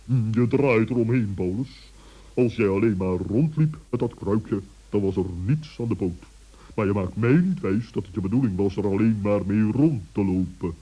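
A man playing a stern, bossy old owl in a children's radio play, pompous and reproving with drawn-out emphasis. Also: lowish voice, mellow, breathy edge. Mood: suspicious, probing. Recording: dull vintage radio recording.

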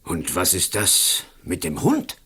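An actor playing a pompous, imperious national councillor and colonel in a radio play, with a Swiss accent and a gravelly, husky voice. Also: male voice, fairly low pitch, huge pitch swings, slow, sharp tone, full character voice. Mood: curt, self-important.

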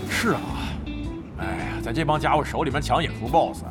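A man's voice: medium pitch, animated, normal speed, over music, natural voice. An actor playing a brash, crafty middle-aged veteran gamer in a Chinese audio drama, cocky and slangy, grumbling and swearing as he banters. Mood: scheming.

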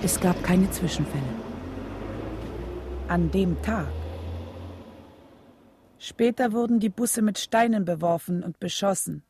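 An actress playing a reflective wife who narrates her husband's struggle in a radio play, with a husky, mellow voice. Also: medium pitch, slow pace, pauses often, noisy background. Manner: reflective, storytelling.

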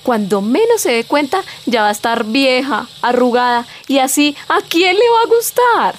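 A woman acts a fretful Colombian mother in a radio soap. She nags and pleads in a fairly high voice, over faint background noise. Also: very animated, moderate pace. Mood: worried nagging. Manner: pleading, nagging. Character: fretful, nagging traditional mother of grown daughters. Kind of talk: radio soap opera acting.

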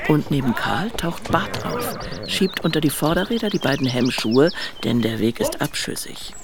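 An actor playing a wry narrator in a radio play, describing the action in scripted present-tense sentences. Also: male voice, fairly high pitch, sing-song, moderate pace, gravelly, crowd noise. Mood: measured, descriptive. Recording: loud music behind narration. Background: music.

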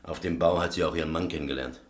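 A no-nonsense electrician with a very low voice. He speaks in clipped, exact phrases, like a master instructing apprentices, in a radio crime play. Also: fairly even intonation, very fast. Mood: matter-of-fact. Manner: precise, emphatic, clipped technical explanations. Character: matter-of-fact male electrician and shop owner, master of apprentices. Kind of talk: radio crime drama dialogue.

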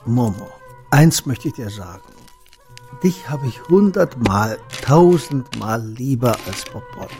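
An older man playing a kindly, wry old shopkeeper in a radio play, fatherly, gently teasing and deliberate. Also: medium pitch, lively intonation, soft background music. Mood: affectionate.

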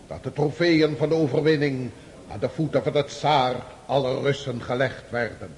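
A man's voice: a little high, a little mellow, big-room sound. A man playing a proud old field marshal. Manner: formal, declamatory.

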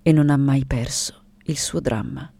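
A woman's voice: a bass voice, unhurried, gravelly, husky, dry recording, exaggerated voice. An actress in a radio drama playing an elderly woman artist, intense and wounded, in a dramatic theatrical monologue. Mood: solemn. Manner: dramatic, swinging from hushed to anguished.

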